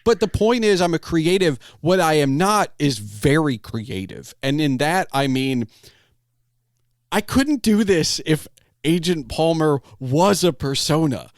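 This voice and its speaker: A man hosting a casual podcast conversation, talking in a halting way and often repeating words as he thinks. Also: a little high, lively intonation, dry recording, clean studio sound. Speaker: podcast host.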